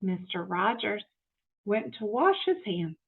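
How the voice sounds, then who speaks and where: A woman reading a picture book aloud to children, expressive and explanatory, pausing to ask questions. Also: medium pitch, gravelly, husky. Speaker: children's story reader. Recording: muffled narrow phone-like audio.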